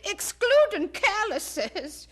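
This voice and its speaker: A woman's voice: very high-pitched, very animated, extremely slow, fairly bright tone, soft background music, exaggerated voice. An actress playing a nosy, flippant young woman with a pert manner in an audio drama.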